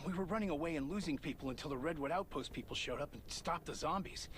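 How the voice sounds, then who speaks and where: An actor playing an angry, grieving survivor guard in a video game, bitter but resolute. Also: male voice, on the high side, quick pace, noisy background, close to an everyday voice. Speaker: game character. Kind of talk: dramatic video game dialogue.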